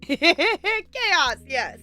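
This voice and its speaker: A woman's voice: extremely high pitch, very animated, drawn-out words, sharp tone, faint music behind. A woman hosting a livestream, chatting casually with viewers and thinking aloud in a rambling way. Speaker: livestream host. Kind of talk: casual chat with live stream viewers.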